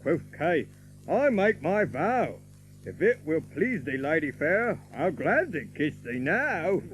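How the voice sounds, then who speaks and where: An actor playing a merry young outlaw who recites an old romantic ballad in lilting, theatrical archaic verse. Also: male voice, a little high, very animated, slow, soft background music. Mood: lilting storytelling.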